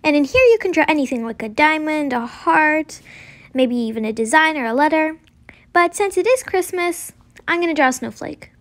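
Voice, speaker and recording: A woman's voice: high-pitched, lively intonation, moderate pace, a bit bright. A young girl narrating a step-by-step drawing tutorial in a casual, simple way. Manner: simple, informal instruction with frequent "like".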